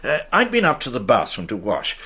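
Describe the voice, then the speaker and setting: An actor playing an anxious, courteous country-house gentleman in a radio drama, with a husky voice. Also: mid-range voice, wildly swooping pitch, a little fast, heavily acted. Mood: uneasy. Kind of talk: British radio drama acting.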